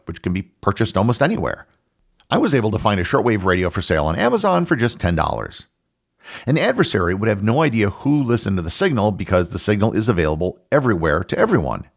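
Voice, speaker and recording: A man hosting a podcast, reading a scripted educational narration in a measured, explanatory way with emphatic phrasing. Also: lowish voice, gravelly. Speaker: podcast host.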